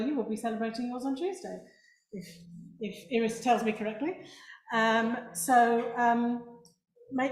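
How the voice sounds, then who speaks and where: Woman doing synagogue announcements, bit hesitant, highish voice, echoey room. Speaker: synagogue service leader. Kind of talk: service announcements to a congregation.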